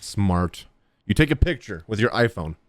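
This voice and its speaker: A man giving casual, informal live reactions as he streams a video game, exclamatory and animated. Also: fairly low pitch, moderate pace, dry recording.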